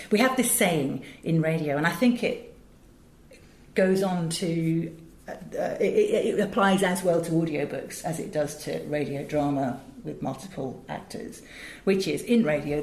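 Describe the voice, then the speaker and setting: A woman's voice: deep voice, moderate pace, gravelly, darkish tone. A middle-aged English woman talking as an interview guest, chatty, articulate and given to digressions as she tells stories. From England. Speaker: interview guest, voice director and radio producer. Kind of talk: conversational interview, storytelling. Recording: echoey, roomy sound.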